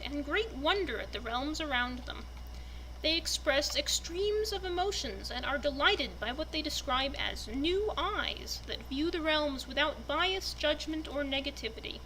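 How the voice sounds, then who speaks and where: A woman giving a deliberate, storybook-like dramatic reading of a written fantasy text. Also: high-pitched, sharp tone, slight background noise, poor audio. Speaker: reader presenting a fantasy book.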